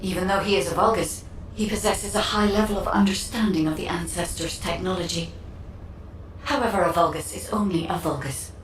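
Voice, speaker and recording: A woman's voice: fairly low pitch, close to an everyday voice. Woman playing a composed AI guide, roomy sound. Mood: composed, informative. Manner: formal, measured, matter-of-fact. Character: composed female AI guide, precise and haughty. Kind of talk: video game scripted dialogue. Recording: roomy, distant, faint noise.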